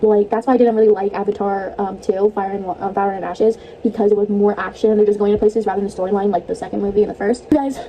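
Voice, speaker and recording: A woman's voice: mid-range voice, flat delivery, fast talker, few pauses, mellow, a little hiss or hum, poor audio. A young woman vlogging casually about movies, chatty and rambling, laughing often, her voice a bit stuffy and tired. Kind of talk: casual movie review vlog.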